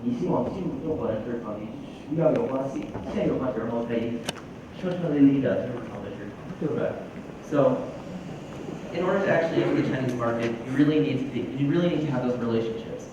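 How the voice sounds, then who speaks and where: A man's voice: medium pitch, fast talker, highs cut off. Young man in a very echoey hall. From New Jersey, United States. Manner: storytelling, switching between English and Mandarin. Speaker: speaker giving a talk. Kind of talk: bilingual personal talk. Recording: very echoey hall, distant mic, noisy.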